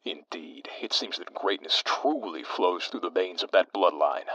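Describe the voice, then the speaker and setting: Actor as a low, expressive, courtly radio co-host. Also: male voice, dry recording, dull audio. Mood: grave, admiring. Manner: formal, measured wording. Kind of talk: audio drama acting as talk radio.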